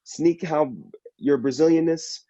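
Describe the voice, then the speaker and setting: A man from the San Francisco Bay Area, a capoeira practitioner and actor, speaking in a casual panel discussion, halting and reflective as he searches for his words. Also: a little high, steady tone, mellow, dull audio.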